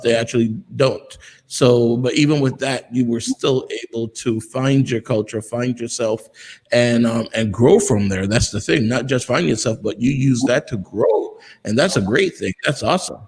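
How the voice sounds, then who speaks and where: A relaxed male host who chuckles often and hunts for words with plenty of ums. His voice trails off into a long, reverberant tail. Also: mid-range voice, moderate pace, husky. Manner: friendly, laughs often, many ums. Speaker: host of interview show. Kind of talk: casual interview. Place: South Florida.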